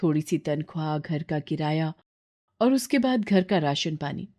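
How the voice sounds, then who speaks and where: A woman's voice: sits low, expressive, unhurried, dark tone. A woman narrator reading a scripted moral story.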